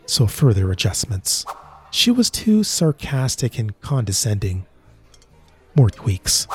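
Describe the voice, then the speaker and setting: A man tells the story slowly and carefully. His voice is husky, and his pitch rises and falls a lot. Soft music plays behind him. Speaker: narrator and lead character. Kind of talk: scripted narration in a short drama.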